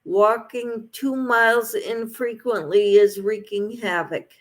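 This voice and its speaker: A woman's voice: on the high side, fairly even intonation, slow. A woman hosting a casual livestream, reading out viewers' comments and replying to them in an encouraging way. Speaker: livestream host.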